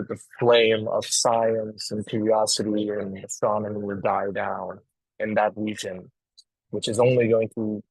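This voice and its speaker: Husky earnest man from Afghanistan. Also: on the low side, dry recording, low-quality recording. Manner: earnest, halting, many restarts. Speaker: astronomy outreach guest. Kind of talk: live online panel talk. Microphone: video call.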